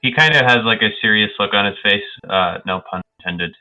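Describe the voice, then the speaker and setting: A man's voice: lowish voice, a little fast. A young man playing a tabletop game, chatting casually and describing what his character does. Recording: dull boxy audio, music behind.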